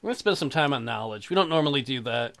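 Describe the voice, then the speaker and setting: A man's voice: mid-range voice, expressive, fast, gravelly, fairly bright tone. A man hosting a let's play, casually commenting and reading aloud in an irreverent, joking, sweary way.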